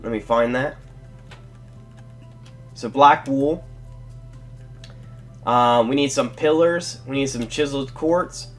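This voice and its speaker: A young man with a stuffy, congested voice hosts a casual game-building tutorial. His pitch sits right in the middle, and he rambles along, counting blocks and correcting himself. He apologizes easily when he gets muddled. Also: takes it slowly, long pauses, soft background music. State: congested from a cold. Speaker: Let's Play host.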